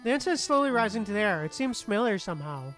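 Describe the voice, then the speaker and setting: Casual streamer, mid-pitched, slangy and muttering, reading game text aloud over music. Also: sing-song, rapid-fire.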